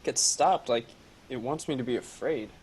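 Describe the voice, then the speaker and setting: A man plays a nervous teenage boy in a science fiction script. His voice is husky and mid-pitched, and he speaks haltingly and timidly. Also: darkish tone, close to an everyday voice, poor audio. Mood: uneasy. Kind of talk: acted table read.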